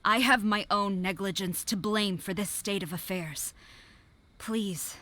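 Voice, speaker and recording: Brief: disciplined, formal general, firm orders, mid-pitched. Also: female voice, a little slow, sharp tone. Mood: stern. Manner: formal, commanding, clipped. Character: stern military general, proud and disciplined. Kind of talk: game voice acting.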